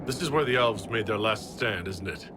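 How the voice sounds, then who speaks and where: A man playing a calm, commanding hero in a video game cutscene, composed and direct. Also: on the low side, moderate pace, background noise, lightly acted.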